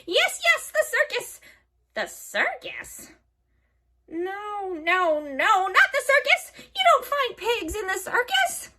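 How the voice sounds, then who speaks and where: A woman's voice: expressive, takes it slowly, exaggerated voice. A shrieking greedy old witch. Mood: excited. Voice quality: screechy. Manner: shrill, shouted outbursts. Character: greedy old female hag witch, screeching and impatient.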